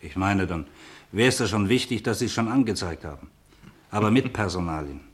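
An actor playing a pedantic police sergeant in a German radio play, explaining things in an officious, matter-of-fact way. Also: male voice, on the low side, lively intonation, normal speed, breathy edge. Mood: officious, insistent. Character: pedantic male police sergeant taking down a report.

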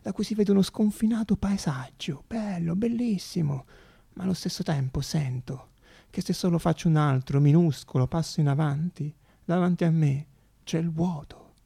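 An actor playing an earnest, anxious young student inventor in a theatrical radio monologue, intense and halting, repeating words. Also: male voice, on the high side, gravelly, warm tone, husky, dry recording, studio quality. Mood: joyful, awed.

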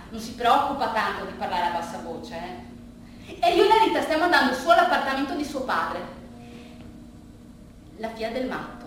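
Animated high-voiced woman in an echoey space. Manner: halting, conversational storytelling with dropped, hushed asides. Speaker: monologue actress and narrator. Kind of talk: bittersweet theatrical monologue in a colloquial first person. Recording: very roomy, distant stage recording, faint noise.

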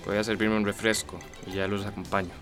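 A man's voice: fairly low pitch, flat delivery, fast talker, natural voice. Actor as a sullen young man over music. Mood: sullen, tired. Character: sullen, weary young man, back home reluctantly. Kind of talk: radio soap opera acting.

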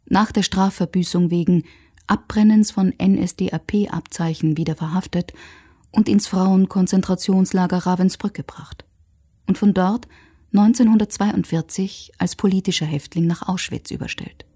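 A woman's voice: on the low side, steady tone, moderate pace, dark tone, breathy edge. A woman narrating a scripted documentary in a factual, sober manner.

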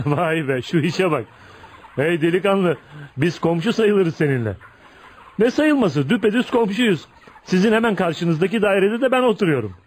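A man's voice: high voice, lively intonation, normal speed, breathy edge. An actor playing a feared, ugly but kind-hearted tough man, blunt and probing, in a Turkish radio play. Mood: hearty, curious. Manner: blunt, probing, folksy, punctuated with "ha?". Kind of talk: Turkish radio theater acting.

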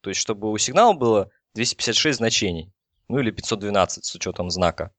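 A man giving an informal technical explanation as an expert, in a halting way with many false starts. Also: fairly low pitch, lively intonation, fairly bright tone, no room sound. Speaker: telecom engineer explaining as guest expert.

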